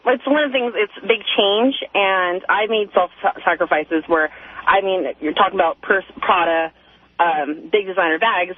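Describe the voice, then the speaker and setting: Young woman on a tinny phone line. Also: lowish voice. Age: 20s. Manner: hesitant, rambling, deferential. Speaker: caller. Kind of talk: casual phone-in chat. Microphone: telephone. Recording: tinny, narrow telephone line on radio.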